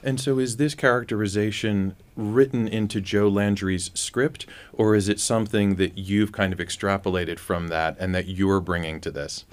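Male interviewer, smooth low voice, inquisitive and conversational, feeling his way through questions. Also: steady tone, moderate pace, hardly any pauses. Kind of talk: conversational radio-style interview.

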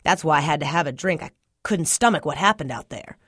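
A woman narrator puts on a hard-drinking rural father for an audiobook story. He is gruff and defensive, blunt and folksy in his dismissals, and the sound is dry with little room in it. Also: deep voice, lively intonation, a little fast, a bit bright, no echo, full character voice. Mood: defensive, dismissive. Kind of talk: audiobook character dialogue.

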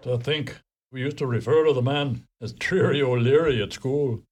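An actor voices a self-important post office clerk in a radio play. He has a mid-pitched voice, an Irish accent and an officious, easily indignant bluster. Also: normal speed, darkish tone, soft background music, lightly acted. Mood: wry.

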